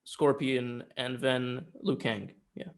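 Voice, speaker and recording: A man's voice: mid-range voice, level tone, slow. A man co-hosting a casual review discussion, speaking in an informal, opinionated and emphatic way. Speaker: film review show co-host.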